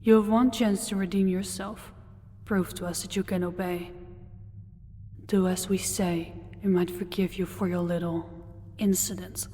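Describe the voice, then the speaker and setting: A woman playing a commanding, possessive demon lord in an audio roleplay, speaking in a cold, deliberate, imperious manner. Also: lowish voice, level tone, warm tone, natural voice. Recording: long hall-like reverb.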